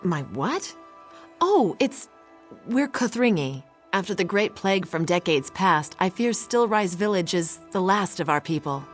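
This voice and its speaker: Undead female elder for fantasy game: grave, regretful, low register. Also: sing-song, measured pace, faint music behind. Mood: confused, mournful.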